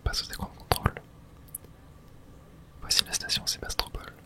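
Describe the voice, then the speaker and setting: Constant soft unvoiced whisper, ASMR gamer. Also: moderate pace. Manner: hushed, unvoiced whispering throughout. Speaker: video game streamer. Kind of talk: whispered ASMR game playthrough, reading dialogue aloud.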